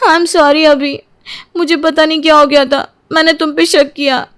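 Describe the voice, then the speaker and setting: Tender, apologetic young wife voiced by a woman, high pleading voice, little melody, audio tale. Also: level tone, measured pace, a bit bright. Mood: remorseful.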